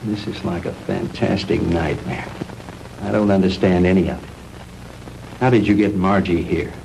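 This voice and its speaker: An actor playing an earnest, indignant investigator with an American accent, speaking in stiff, measured 1960s B-movie style. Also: male voice, low voice, gravelly. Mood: bewildered. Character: earnest, indignant male investigator confronting a villain. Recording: noisy old 1960s film soundtrack.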